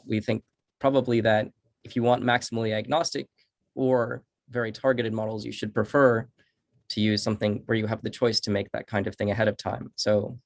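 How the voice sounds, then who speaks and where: A man speaking as a researcher at an online academic seminar, explaining in halting phrases with repeated words. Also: on the low side, level tone, no room sound. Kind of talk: academic presentation and answering questions.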